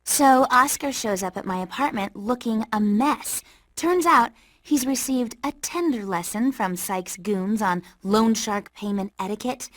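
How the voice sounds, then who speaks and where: A woman's voice: on the high side, a bit bright, dry recording. A woman voicing a caring, exasperated young fish, earnest and fretful, in a cartoon game monologue. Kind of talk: video game cutscene monologue.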